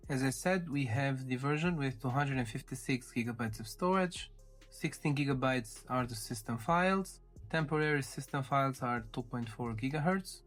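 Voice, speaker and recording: A man's voice: medium pitch, normal speed, soft background music. A man giving an informal, step-by-step tech review commentary as he handles a device. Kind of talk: casual unboxing walkthrough commentary.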